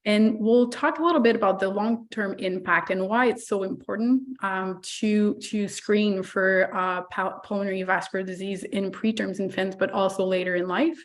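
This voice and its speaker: A woman neonatologist with a hoarse voice from laryngitis, giving a chatty online medical lecture over a video call, full of fillers and playful asides. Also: mid-range voice, steady tone, moderate pace, few pauses.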